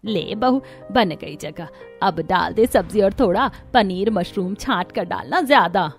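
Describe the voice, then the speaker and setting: A woman's voice: mid-range voice, lively intonation, a little slow, breathy edge, faint music behind. A woman voicing a greedy, bossy older mother-in-law in a cartoon story, demanding and nagging with lip-smacking relish. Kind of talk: Hindi cartoon story dialogue.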